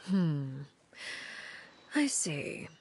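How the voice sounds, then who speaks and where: An actress playing a calculating woman of authority in a video game, speaking in a measured, formal, guarded way with dry wit. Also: low voice, big pitch swings, background noise, heavily acted. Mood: composed, guarded. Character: cool, calculating, commanding adult woman of authority. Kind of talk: anime-style video game voice acting. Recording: music faintly behind the voice.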